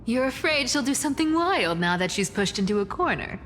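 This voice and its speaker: A woman's voice: on the high side, sing-song, brisk, a bit bright, slight background noise. A woman playing a sly, teasing trickster demigod in a game, with a playful drawl. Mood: shrewd, teasing. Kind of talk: scripted game drama acting. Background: music.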